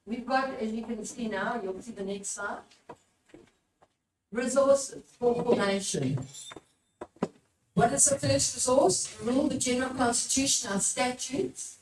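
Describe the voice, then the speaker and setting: The voice is a woman's, set high, speaking as a workshop presenter who urges and questions her audience. She is picked up from a distance in an echoing room, with some background noise. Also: measured pace, long pauses. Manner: exhortatory, puts questions to the listeners. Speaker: workshop presenter, religious formator. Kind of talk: live workshop teaching talk to an audience. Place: echoey room at a live workshop.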